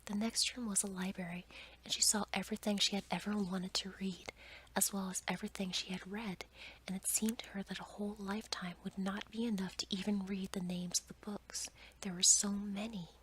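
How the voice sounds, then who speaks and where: A woman storyteller reading a fairy tale as ASMR in a soft, breathy whisper. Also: medium pitch, little pitch movement, brisk, a little hiss or hum, poor audio.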